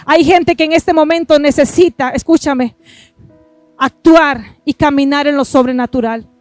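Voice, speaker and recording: A woman's voice: sits high, fairly even intonation, no room sound, soft background music. A woman preaching an impassioned sermon and offering appeal, pressing her listeners and often breaking in with "listen to me". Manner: impassioned, repeats "listen to me", swings between rushed and drawn-out phrases.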